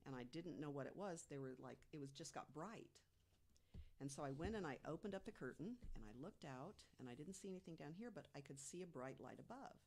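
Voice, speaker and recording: A woman's voice: low voice, animated, fairly quick, a little mellow, a little hiss or hum, rough recording. A woman of sixty-six telling stories casually as a panelist on a conversational podcast. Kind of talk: casual personal storytelling.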